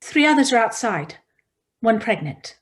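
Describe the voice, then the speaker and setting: Casting: woman as terse, concerned survivor, high lively voice, audio drama read. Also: measured pace. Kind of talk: scripted drama table read.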